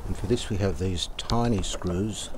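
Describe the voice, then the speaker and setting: A man from Australia narrating hands-on repair work casually, unhurried and halting as he thinks aloud. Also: low-pitched, noisy background. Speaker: radio restorer presenting his own repair videos.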